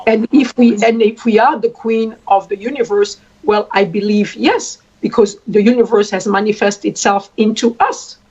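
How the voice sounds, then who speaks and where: A woman from Congo, a traditional queen, speaking at length in a deliberate way and repeating phrases for emphasis. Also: mid-range voice, steady tone, a little mellow, husky. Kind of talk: spoken address. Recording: dull lo-fi sound.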